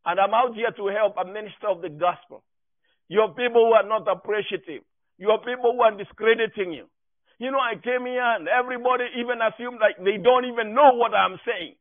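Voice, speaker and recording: Kenyan guy preaching, emphatic, high voice, sounds tinny like thru a phone. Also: fairly quick. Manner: emphatic, exhorting, repeats key phrases. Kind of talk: sermon. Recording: echoey room, thin phone-like sound.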